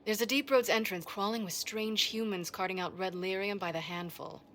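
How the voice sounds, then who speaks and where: A woman plays a self-assured fantasy game expert with a mid-range voice. She is brisk and matter-of-fact, with a dry, wry streak. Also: mid-range voice, steady tone, a bit bright, slight background noise, natural voice. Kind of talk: video game voice acting.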